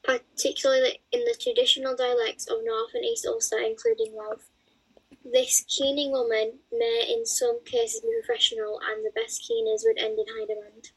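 Young woman co-host, husky voice, bit high, reads kinda haltingly. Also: big pitch swings, low-quality recording. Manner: halting reading with restarts. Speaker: podcast co-host. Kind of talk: reading aloud, casual chat.

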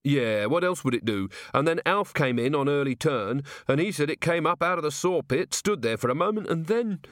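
A grumbling working-class tradesman, played by a male narrator in a storybook. He is blunt and colloquial, pitched up from plain narration, with very animated delivery. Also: normal speed, no echo, studio quality. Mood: aggrieved. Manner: colloquial, complaining.

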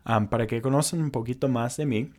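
A man's voice: mid-range voice, brisk, a little mellow. A young American man with an English-speaker accent, hosting a casual personal podcast in earnest, careful non-native phrasing with small slips. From United States. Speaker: podcast host.

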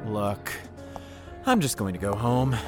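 Earnest, expressive young man. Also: lowish voice, slow pace, over music. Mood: weary, dry. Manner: earnest, soft-hearted. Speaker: voice actor. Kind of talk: audio drama dialogue.

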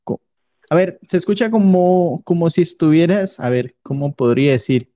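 A man's voice: a little high, lively intonation, moderate pace, warm tone. A man with a Colombian accent chatting casually and jokily as a streamer being interviewed. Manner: jokey. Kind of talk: casual improvised chat.

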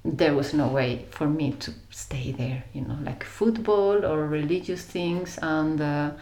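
Woman, Spanish accent, low voice, reflective conversational storyteller with halting delivery, roomy recording. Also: a little mellow. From Spain. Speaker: interview guest. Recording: roomy echo around the voice.

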